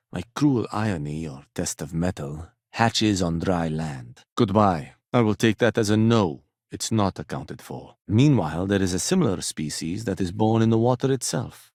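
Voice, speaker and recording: A man's voice: fairly low pitch, dry recording. A man voicing a fussy, punctual corporate office worker in a video game, speaking precisely and formally with dry condescension.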